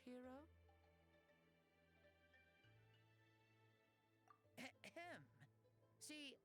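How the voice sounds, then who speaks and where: A woman's voice: lowish voice, fairly bright tone. A woman playing a playful, grandiose ancient warrior hero in a boy's body for a video game, declaiming theatrically. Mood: playful boasting. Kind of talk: video game character acting. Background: background music.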